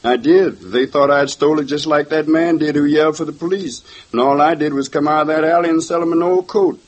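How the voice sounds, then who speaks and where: A man's voice: fairly high pitch, steady tone, fairly quick, close to an everyday voice. An actor playing a nervous, defensive young male suspect under questioning in a 1950s radio drama.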